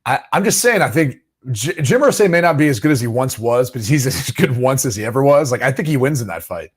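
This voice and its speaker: A man's voice: mid-range voice, very animated, fast talker, husky. A man co-hosting a sports podcast, teasing and laughing in casual banter. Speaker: podcast co-host, former player.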